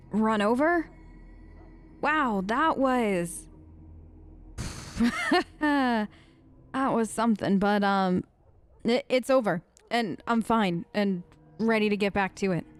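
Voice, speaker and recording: A woman's voice: mid-range voice, lively intonation, slow, pauses often. A young woman hosting a role-play let's play who talks eagerly and hesitantly. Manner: hesitant, enthusiastic.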